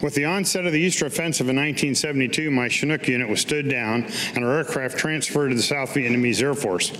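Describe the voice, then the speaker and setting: An older man with an American accent reads his own written recollections from a podium. His voice sits at a middle pitch. The hall adds long reverb, with slight background noise. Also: fast talker, a bit bright. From United States. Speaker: veteran reading his own written piece. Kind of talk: staged reading of autobiographical writing. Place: public meeting room, at a podium. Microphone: podium gooseneck microphone.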